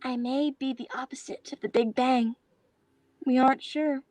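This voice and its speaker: A woman playing a godlike bound artificial being in a play reading, speaking in a formal, measured, detached way. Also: high-pitched, steady tone, a little mellow, no room sound, close to an everyday voice. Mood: solemn, explanatory. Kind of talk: virtual staged play reading.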